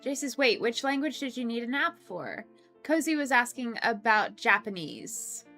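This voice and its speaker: Bright-voiced chatty young woman streamer. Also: fairly high pitch, animated, normal speed. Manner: chatty, laughs often, many ums and restarts. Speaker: streamer hosting a coworking stream. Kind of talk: casual chat reading viewer comments. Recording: faint background music. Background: faint music.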